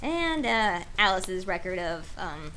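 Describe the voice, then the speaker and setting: A woman's voice: on the low side, big pitch swings, slow pace, bright, forceful tone, a little hiss or hum. A woman reading a storybook aloud on a livestream, dropping in casual, slangy, self-deprecating asides. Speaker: host reading a storybook to a live audience.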